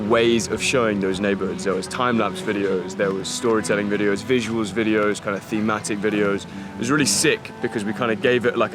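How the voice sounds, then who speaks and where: A man vlogging casually to camera, enthusiastic and slangy with lots of fillers. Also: on the low side. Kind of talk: casual vlog talk to camera. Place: outdoors in a city park.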